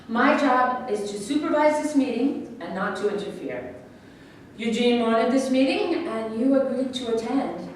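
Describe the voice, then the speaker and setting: An actress in a staged reading playing a stern, formal doctor with a firm, official manner. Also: female voice, on the high side, lightly acted. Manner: firm, official, measured wording. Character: stern, formal female doctor supervising a prison meeting. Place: echoey room at a staged reading, far mic. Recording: very echoey, distant, faint room noise.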